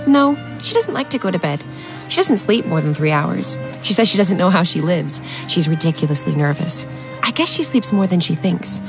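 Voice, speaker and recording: A woman's voice: on the low side, sing-song, normal speed, gravelly, over music. A woman playing an artless young American socialite in a period radio drama, breezy, candid and rambling. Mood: breezy, chatty.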